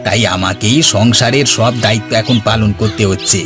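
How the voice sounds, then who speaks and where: A man's voice: lowish voice, steady tone, brisk, natural voice. A man voicing a hardworking, friendly village farmer who speaks colloquially in a cartoon. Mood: burdened. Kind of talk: dubbed cartoon dialogue. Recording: music under the voice.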